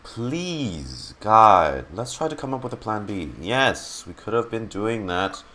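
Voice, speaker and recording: Lively male streamer, halting and exasperated. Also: fairly low pitch. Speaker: streamer reacting to a game. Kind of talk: casual live reaction commentary.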